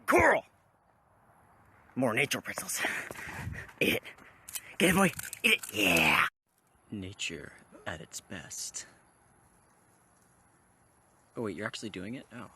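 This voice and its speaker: A young man hamming it up outdoors in a phone video, his voice bright and a little breathy. He talks slowly, and his pitch leaps wildly between deadpan lows and excited highs. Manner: jokey, swings from low mock-gravity to yelps. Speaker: vlogger. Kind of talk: goofy improvised skit. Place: outdoors on an overgrown road. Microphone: phone camera.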